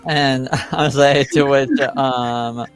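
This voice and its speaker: Slow, halting male game master. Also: medium pitch, steady tone, smooth, faint music behind. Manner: halting, hesitant delivery. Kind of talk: improvised narration of a tabletop fantasy game.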